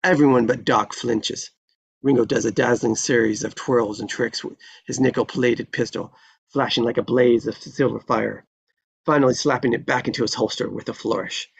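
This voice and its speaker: Gravelly man reading stage directions. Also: medium pitch, steady tone, moderate pace. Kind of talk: scripted narration.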